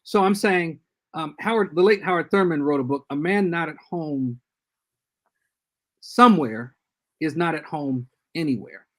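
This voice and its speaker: An older man, a guest church leader, giving earnest and measured advice in a casual podcast discussion over a video call. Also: a little high, a bit bright.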